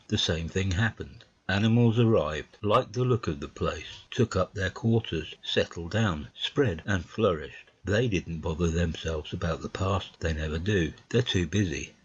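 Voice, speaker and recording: Man voicing wise old badger, very low pitch, gruff, patient storyteller, slightly muffled sound, audiobook drama. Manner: measured, storytelling, unhurried. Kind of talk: dramatised audiobook of classic fiction.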